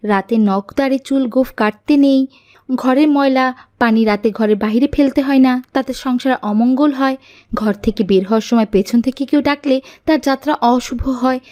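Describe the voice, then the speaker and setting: A woman's voice: high-pitched, darkish tone, natural voice. A woman voicing an earnest, pious young girl who explains and corrects in an Islamic cartoon. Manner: didactic, explanatory. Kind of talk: Islamic cartoon dialogue, moral lesson.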